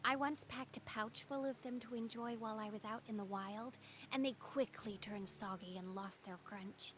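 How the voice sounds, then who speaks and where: A woman voicing an earnest young female swordswoman in a game, soft-spoken and sincere. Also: on the high side, normal speed, a little hiss or hum, lightly acted. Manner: earnest and soft-spoken, proud battle cries. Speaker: game character. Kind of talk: game character voice lines.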